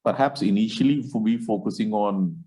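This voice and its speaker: A man with a fairly low voice hosts an online support meeting over a video call. Punjabi is his first tongue, and his comes slowly and thoughtfully, full of pauses, fillers and restarts. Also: warm tone, husky, poor audio. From India. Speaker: support group host.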